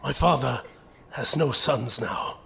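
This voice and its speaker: A man playing a gentle, resolute young soldier captain who is dying, speaking weakly and haltingly in an audio drama. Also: mid-range voice, heavily acted. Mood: pleading, grieving. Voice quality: weak, creaky, breathy pauses. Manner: halting, laboured delivery.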